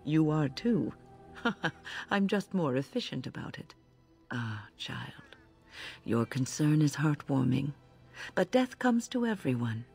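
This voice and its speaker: An actress playing a serene elderly healer in a video game, speaking in a measured, philosophical and gently wry way. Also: female voice, low voice, vocal fry, husky, exaggerated voice. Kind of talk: video game character dialogue. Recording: game audio with music behind.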